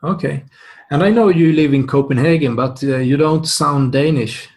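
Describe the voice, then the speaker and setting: A man's voice: a little high, normal speed, a little mellow. A man hosting an interview, asking questions with frequent uhs and restarts. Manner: hesitant questioning with many uhs. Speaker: interview host.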